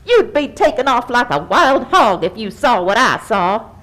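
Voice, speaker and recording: A woman plays a folksy farm dog in a children's puppet show. The talk is rustic and countrified. The delivery is lively, with plenty of rise and fall. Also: fairly high pitch, a little slow. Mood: spooked.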